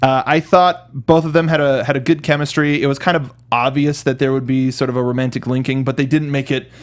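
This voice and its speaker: A man's voice: mid-range voice, fairly even intonation, a little fast. A man co-hosting a film review show, chatting casually and conversationally, sometimes acting out imagined lines. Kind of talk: casual chat breaking down a movie.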